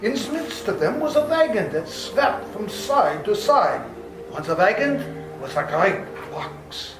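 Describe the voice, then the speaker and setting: A man performs a commanding vampire hunter in live radio theater. The voice sits high for a man and is urgent and dramatic. It rings in a roomy space, with music underneath. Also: very animated, unhurried, exaggerated voice. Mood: tense narration. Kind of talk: old-time radio drama acting. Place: live performance in a public library.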